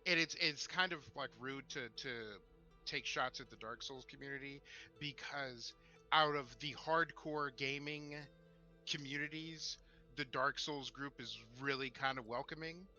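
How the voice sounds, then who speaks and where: A man co-hosting a tabletop gaming discussion show, talking casually and opinionatedly in a halting, emphatic way with sarcastic asides. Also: medium pitch, pushed and bright, muffled recording, soft background music.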